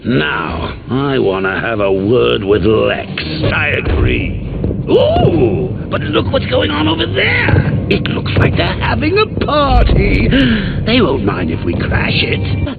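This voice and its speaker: An actor playing a manic, theatrical clown supervillain in a video game, cackling and taunting with playful wordplay. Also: male voice, a little high, creaky, background noise, exaggerated voice. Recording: tinny lo-fi game audio with loud music.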